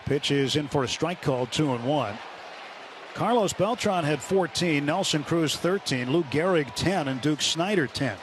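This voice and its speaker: A male radio broadcaster calls a baseball game live in a fairly high-set voice. He is giving play-by-play from the ballpark, with clear crowd noise all around him. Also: lively intonation, a little slow. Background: stadium crowd and ambience.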